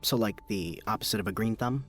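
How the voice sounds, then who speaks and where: An actor playing an earnest, somewhat puzzled public radio host, narrating and interviewing in an audio comedy. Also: male voice, lowish voice, a little fast, faint music behind, natural voice. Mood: puzzled, curious.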